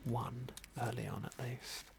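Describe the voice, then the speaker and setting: A British man narrating an ASMR game playthrough in a gentle, polite, understated manner. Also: mid-range voice, fairly even intonation, measured pace, creaky, noisy background. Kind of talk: whispered ASMR roleplay and commentary.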